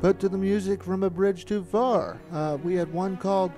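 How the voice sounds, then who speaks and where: A man's voice: high-pitched, fairly even intonation, moderate pace, over music. A middle-aged man narrating a documentary, looking back on his amateur filmmaking.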